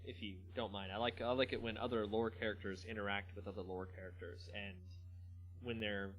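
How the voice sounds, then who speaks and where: A man hosting a dramatic story reading, expressive in delivery and now and then stumbling and correcting himself. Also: fairly low pitch, rough recording. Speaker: host reading a fantasy story aloud.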